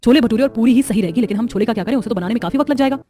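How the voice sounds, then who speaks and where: Woman acting a fast-talking, sharp-tongued young wife. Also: fairly high pitch, natural voice. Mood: confident. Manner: teasing, argumentative. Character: sharp-tongued, confident young daughters-in-law. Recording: music behind the voice.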